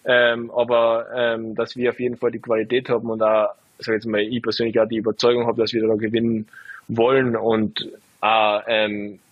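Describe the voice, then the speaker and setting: A man in his twenties, a footballer, answering interview questions in a relaxed, halting way full of fillers. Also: mid-range voice, no room sound, dull audio. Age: 20s. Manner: hesitant, lots of fillers, relaxed. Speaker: footballer guest.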